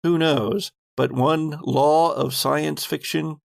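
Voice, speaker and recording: Slow, gravelly male game master. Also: on the high side, fairly even intonation, no echo, studio quality. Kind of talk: improvised tabletop game narration.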